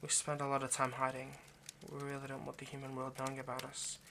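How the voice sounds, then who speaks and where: A man playing an anxious, lovesick vampire kidnapper in an intimate audio roleplay, speaking in a halting, pleading way. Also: medium pitch, completely flat delivery, gravelly, bright, forceful tone, a little hiss or hum. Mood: weary, explanatory. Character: anxious, lovesick male vampire kidnapper, centuries old but youthful.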